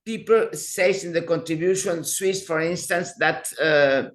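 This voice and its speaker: A woman's voice: on the low side, unhurried. A woman professor giving panel remarks on a video call, hesitant, with many fillers and restarts.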